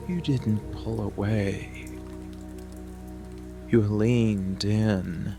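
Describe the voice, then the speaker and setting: A man voicing a seductive, possessive, protective monster lover in intimate ASMR roleplay, with a breathy, gravelly, often whispered voice and slow, halting, lingering delivery. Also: on the low side, heavily acted. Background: rain and music.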